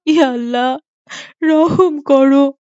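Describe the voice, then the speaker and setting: A woman's voice: high voice, slow, mellow, no room sound. A woman voicing a devout wife and mother in a moral cartoon, speaking earnestly and imploringly. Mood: anguished. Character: devout pleading wife and mother praying to God. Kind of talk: dubbed moral cartoon acting. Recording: muffled audio with faint music behind.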